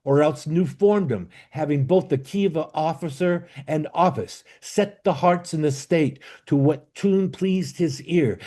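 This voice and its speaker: A man playing a bitter, commanding exiled duke and father in an amateur Shakespeare reading, with a declamatory, halting delivery. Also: on the high side, a little mellow. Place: online video call.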